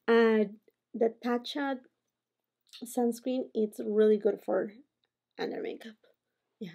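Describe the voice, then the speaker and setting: A woman in her forties with a Peruvian Spanish accent talks through her makeup routine in a bright, slightly high voice. She is lively and animated, recorded at home on a camera at desk distance. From Peru. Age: 40s. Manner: animated, gestures a lot while talking. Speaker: beauty vlogger. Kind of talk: makeup tutorial chat. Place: home room with holiday decor.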